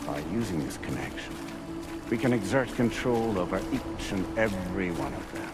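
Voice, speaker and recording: A man playing a calm, coldly paternal artificial intelligence in a video game, speaking in formal, measured, grandiose speeches over music. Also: low voice. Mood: calm, commanding. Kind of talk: cinematic video game voice acting. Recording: music behind the voice.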